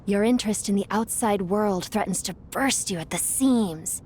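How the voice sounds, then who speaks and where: A woman's voice: mid-range voice, normal speed, a little mellow, a little hiss or hum, lightly acted. An actress playing an ancient, haughty female spirit in a video game, taunting in a grandiose, gloating manner. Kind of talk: video game character acting.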